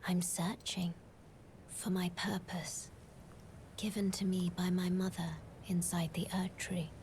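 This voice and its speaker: A woman voicing a ghostly, burned and bodiless maiden, speaking in a slow, flat, sorrowful whisper. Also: lowish voice, slight background noise.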